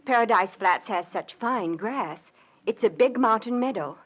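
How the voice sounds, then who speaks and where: A woman's voice: on the high side, animated, measured pace. An actress playing an anxious homesteader wife and mother in a 1940s radio western. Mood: worried. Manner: anxious, earnest. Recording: dull old radio recording.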